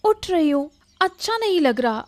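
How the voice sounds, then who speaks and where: A woman playing a sulky younger granddaughter in an animated story, whiny and pouting. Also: high voice, moderate pace. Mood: sleepy, sulky. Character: sulky sleepy younger sister, girlish granddaughter. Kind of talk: Hindi animated story dialogue.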